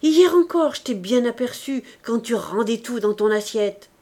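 A woman's voice: on the high side, animated, normal speed, dark tone. A woman voicing an insolent young girl who taunts an old cook. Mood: taunting.

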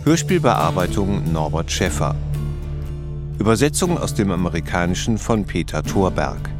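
A man reading scripted credits as the announcer of a radio drama. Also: deep voice. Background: guitar music.

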